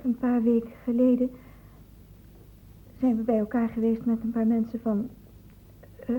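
An actress playing an anxious young college student in a radio play, speaking haltingly and timidly as she confides. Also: fairly high pitch, flat delivery, natural voice. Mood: hesitant confiding. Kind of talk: Dutch radio drama acting. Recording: muffled, narrow-band old radio recording, noisy.

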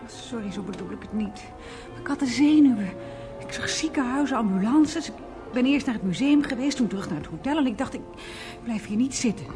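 A woman's voice: on the high side, animated, brisk, over music. An actress playing an anxious girlfriend in a radio drama, questioning her man in a fretful, insistent way. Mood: apologetic, anxious. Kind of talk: Dutch radio drama acting.

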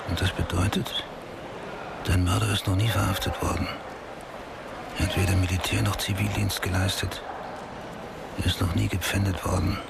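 Casting: brooding noir cop, bottom-register gravelly voice, blunt and terse, radio crime drama. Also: male voice, low-pitched, heavy background noise. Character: hard-boiled, brooding male homicide detective. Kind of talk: noir radio play acting.

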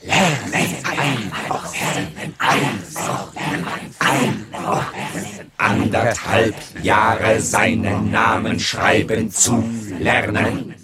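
A man's voice: on the low side, very slow, long pauses, a loud crowd. A man playing a stern, relentless voice of schooling, chanting in an incantatory way in a stylised radio play. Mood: drilling. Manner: incantatory, repetitive, drilling.

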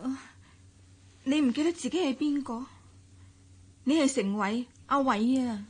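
An actress in a Hong Kong radio drama playing a soft-spoken, refined young wife with a Hong Kong Cantonese accent. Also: female voice, high-pitched, unhurried, breathy edge. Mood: gentle. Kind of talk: broadcast radio drama acting.

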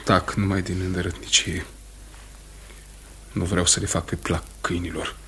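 Low-voiced, anguished condemned man. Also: level tone, bright, forceful tone, a little hiss or hum. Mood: anguished, subdued. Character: anguished condemned farmer, stubborn, guilt-ridden husband. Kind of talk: Romanian radio theatre acting.

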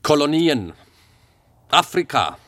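An actor playing a taciturn former soldier in a radio drama, answering tersely and evasively. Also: male voice, fairly high pitch, takes it slowly, no room sound, exaggerated voice. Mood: terse, resigned. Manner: terse, flat one-word answers, evasive. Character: taciturn, guarded former soldier under questioning.

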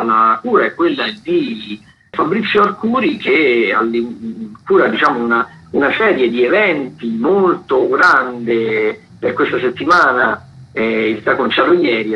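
Italian male radio host, dull lo-fi sound. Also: mid-range voice. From Italy. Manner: hesitant, many fillers and restarts. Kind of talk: radio theatre listings. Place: radio studio.

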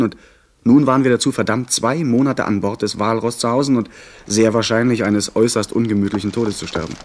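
An actor playing an earnest young gentleman who narrates his pirate adventure in a radio play, in measured, literary sentences. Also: mid-range voice, close to an everyday voice. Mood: tense, disappointed.

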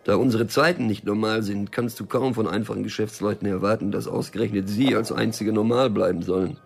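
An actor playing a stubborn, wary small-time drug dealer and loner in a radio crime drama, curt, dry and cynical. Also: male voice, on the low side, fairly even intonation, fairly quick, vocal fry, breathy edge. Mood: matter-of-fact, cynical.

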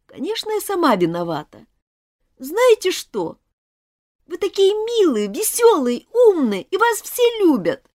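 A woman's voice: on the high side, huge pitch swings, a little slow, a little mellow, pitched above the performer's own voice, exaggerated voice. A woman voicing a miserable, jealous schoolgirl who hates herself, her voice trembling near tears. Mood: tearful. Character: miserable self-hating schoolgirl, jealous and tearful.

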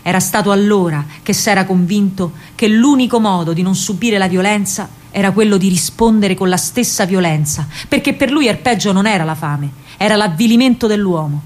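An actress playing a spirited young partisan woman with a Roman accent, telling her story in an expressive, colloquial radio monologue. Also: medium pitch, brisk, few pauses, natural voice. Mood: grave, impassioned. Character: young female partisan courier, lovestruck and spirited. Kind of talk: theatrical radio monologue, dramatic first-person storytelling.

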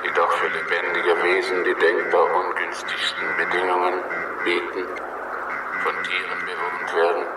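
A vast, scholarly cloud being in an old radio drama. The voice is deep and echoing, every phrase slow, formal and evenly stressed, buried in loud noise. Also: male voice, completely flat delivery. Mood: detached, measured. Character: giant intelligent alien cloud, calm, aloof, scholarly. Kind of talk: 1960s German radio play acting. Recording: echoing, distant, very noisy old radio recording.

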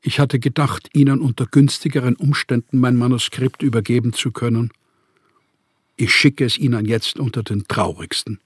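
Actor as despairing writer, husky and close. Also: male voice, mid-range voice, fairly even intonation, normal speed, dry recording, lightly acted. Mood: despairing, sad. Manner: formal, weighed down by misery. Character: despairing, formal male writer in his thirties. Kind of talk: radio drama letter reading.

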